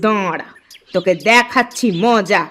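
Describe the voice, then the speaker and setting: A woman's voice: mid-range voice, a little slow, a little hiss or hum, lightly acted. A woman voicing a harsh, scheming stepmother in a cartoon, with a scolding manner. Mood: furious. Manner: sharp, scolding. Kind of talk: cartoon dialogue acting.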